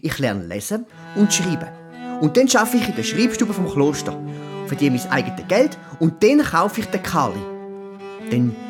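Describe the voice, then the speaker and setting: A woman's voice: sits low, very animated, moderate pace, over music. A woman playing an earnest, stubborn eleven-year-old medieval peasant boy with a Swiss German accent in a children's radio play. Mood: determined. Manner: indignant, determined.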